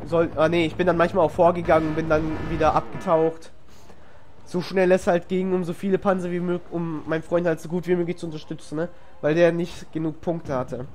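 High-voiced man with a cold, rambling. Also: level tone, a little fast, darkish tone. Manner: rambling, filler-heavy, self-correcting. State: has a cold, coughs. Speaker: gamer commenting on a replay. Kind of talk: casual gameplay voice-over commentary. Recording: noisy home recording.

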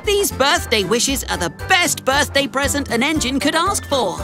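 A kind, eager little boy engine from a children's cartoon. The voice is high and lively, with unhurried, exclamatory delivery over music. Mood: excited, eager. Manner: bright-eyed, earnest, exclamatory.

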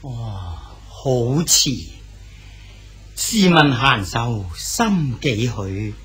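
An actor playing a cultured Song dynasty poet and prefect, speaking Cantonese and reciting verse slowly. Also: male voice, medium pitch, sing-song, a bit bright, soft background music, exaggerated voice. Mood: genial. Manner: measured, declaims poetry slowly. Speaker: Su Dongpo character in a radio drama. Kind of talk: 1980s style Cantonese radio drama acting.

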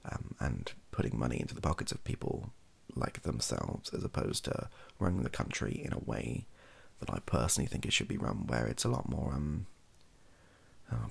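A British man talks in an extremely low voice, heavy with fry. He speaks softly and hesitantly, rambling and rephrasing as he goes, with a husky edge to the sound. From United Kingdom. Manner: hesitant, halting, self-correcting. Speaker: ASMR video creator. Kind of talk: softly spoken improvised rambling talk. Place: small indoor home room.

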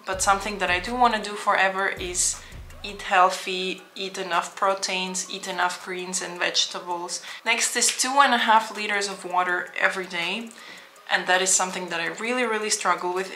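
A woman's voice: medium pitch, sharp tone. A young woman vlogging casually to camera at home, talking through her goals in a chatty, earnest way. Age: young adult. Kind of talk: casual vlog talk to camera. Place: home room, indoors.

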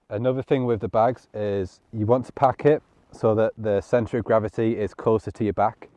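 A man's voice: on the low side, mellow, no echo, lo-fi sound. A man, giving casual, matter-of-fact tips to camera outdoors. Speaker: landscape photographer presenting hiking tips. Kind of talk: casual instructional vlog talk to camera. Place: open grassy hillside outdoors.

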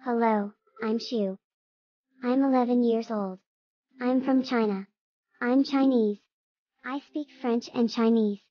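Woman doing a polite 11 yr old girl saying hi, high-ish, dry lo-fi sound. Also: steady tone, dry recording. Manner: slow, clear textbook reading. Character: polite eleven-year-old girl introducing herself. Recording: dry, slightly dull lo-fi.

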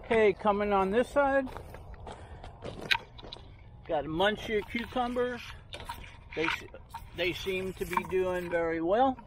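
A man's voice: sits high, background noise. A man giving a casual, rambling tour of his backyard garden, recorded outdoors on a handheld phone. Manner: rambling, unhurried, points things out as he walks.

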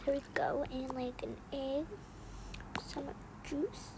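A young female performer voicing a resentful, sneaky young girl in a game roleplay, often muttering in a breathy half-whisper with casual slang. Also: high-pitched, fairly even intonation, slow, full character voice. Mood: scheming, sulky. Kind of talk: improvised game roleplay storytelling. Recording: noisy home recording with clicks.

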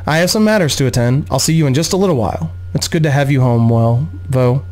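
A man voicing a gracious, composed noblewoman mother with a measured, genteel manner in a fantasy audiobook. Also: mid-range voice, darkish tone, close to an everyday voice.

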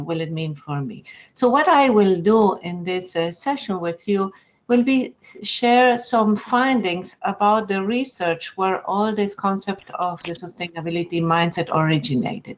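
A woman from Argentina whose first language is German, with a German accent, presenting an online lecture in an earnest, measured way with frequent fillers. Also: on the low side, muffled recording. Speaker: webinar presenter.

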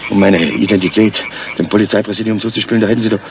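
Actor as deep-voiced, menacing fugitive crook. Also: male voice, steady tone, racing, close to an everyday voice. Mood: bitter, agitated. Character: tough, suspicious male criminal on the run. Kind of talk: 1970s German crime radio drama acting. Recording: noisy old radio drama recording.

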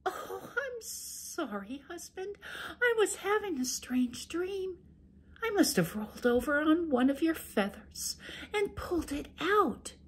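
Woman doing a high sugary young wife. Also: very animated, takes it slowly, exaggerated voice. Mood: sweet. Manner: soft, sweet, high. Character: sly young wife, falsely sweet.

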